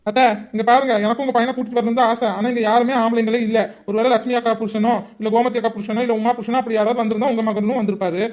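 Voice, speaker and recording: A man's voice: extremely high pitch, level tone, racing, long pauses, a little mellow. A man playing an impatient grown son who hurries his family along, fretting about the time. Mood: explaining.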